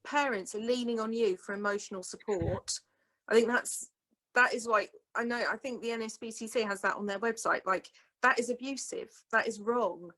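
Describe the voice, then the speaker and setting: Emphatic woman speaker, slightly high voice. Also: moderate pace, sharp tone, low-quality recording. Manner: emphatic, indignant. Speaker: guest speaker. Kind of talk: online talk Q&A.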